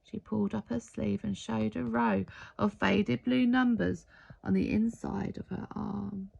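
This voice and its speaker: A woman reading a picture book aloud in an expressive storytelling style. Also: medium pitch, unhurried, gravelly, dull audio. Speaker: story reader.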